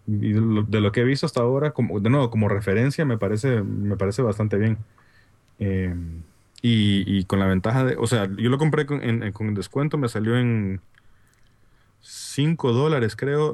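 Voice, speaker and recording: A man with a Honduran accent chatting as a podcast guest over an online call, talking haltingly with many fillers and restarts. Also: fairly low pitch, a little mellow, no echo. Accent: Honduran Spanish. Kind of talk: casual chat.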